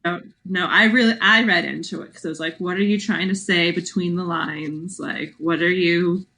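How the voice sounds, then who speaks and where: Bright-voiced woman chatting about books. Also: fairly low pitch, fairly even intonation, moderate pace. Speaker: podcast co-host. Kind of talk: casual book discussion.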